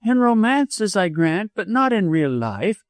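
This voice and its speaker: A proud young widow played by a male reader in a dramatized audiobook. The pitch is lifted well up, and the delivery is emphatic, wilful and quick-tempered. The sound is dry and close. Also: sing-song, dry recording. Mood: indignant. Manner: emphatic, imperious.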